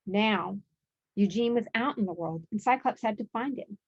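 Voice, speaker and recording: A woman's voice: mid-range voice, normal speed, breathy edge, highs cut off. A woman narrating a children's picture book aloud as its author.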